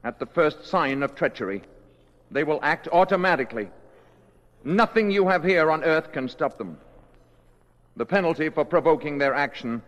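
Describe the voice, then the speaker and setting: A man acting a calm, solemn alien emissary in human form in an old radio drama, speaking formally and with measured words. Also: on the high side. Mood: solemn warning. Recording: dull vintage radio recording, hall reverb.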